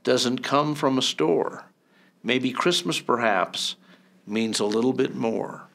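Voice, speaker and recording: A man reading a storybook aloud, voicing a sly, thieving, holiday-hating creature with a slick, deliberate delivery. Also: medium pitch, creaky, a bit bright, slight background noise, pitched below the performer's own voice. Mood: pondering.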